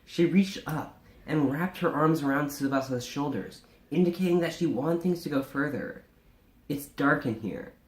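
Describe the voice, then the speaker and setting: A man's voice: a little high, moderate pace. A man co-hosting an informal story reading, stumbling here and there as he reads and chats. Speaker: co-host reading fan fiction aloud. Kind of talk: informal live story reading and casual chat. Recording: echoey room.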